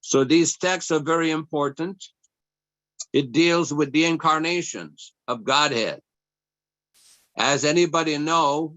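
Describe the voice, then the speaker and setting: A man whose first language is French gives a scripture class, reading slowly and carefully to his listeners. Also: fairly high pitch, a bit bright, dry recording, poor audio. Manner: slow measured reading, then chanting prayers. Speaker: class speaker.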